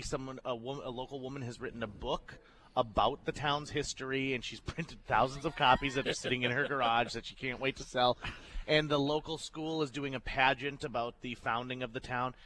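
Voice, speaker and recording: A man's voice: mid-range voice, bright, forceful tone, poor audio. A man on a radio show telling stories in casual, jokey banter. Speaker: radio personality and playwright.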